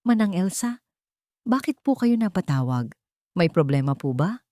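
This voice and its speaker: A woman's voice: lowish voice, big pitch swings, moderate pace, dry recording, studio quality. A woman with a Filipino accent voicing a devoted female caregiver, earnest and holding back emotion in a story. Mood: restrained sorrow. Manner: earnest, soft, emotionally restrained.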